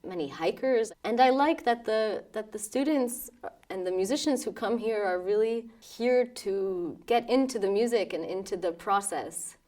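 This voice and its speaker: A woman's voice: medium pitch, normal speed, distant mic. A woman in her thirties from New York with an American accent, talking thoughtfully about her life as a pianist in a documentary interview. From New York, United States. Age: 30s. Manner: thoughtful, with soft laughs and restarts.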